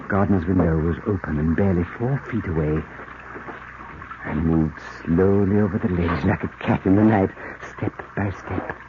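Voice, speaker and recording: An actor playing a cunning, deranged murderer, confessing in a theatrical, brooding, repetitive 1940s radio-drama style. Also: male voice, low-pitched, natural voice. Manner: theatrical, brooding, obsessively repeating himself. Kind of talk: 1940s radio acting, first-person narration. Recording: noisy muffled old radio recording.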